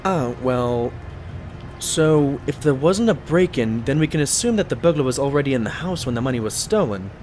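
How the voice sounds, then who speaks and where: Man acting an earnest, animated doctor sidekick. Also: medium pitch, moderate pace, faint music behind, close to an everyday voice. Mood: thoughtful, deducing. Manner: earnest, expressive storytelling. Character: earnest, modest male pony doctor, loyal sidekick and narrator. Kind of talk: audio drama narration and dialogue.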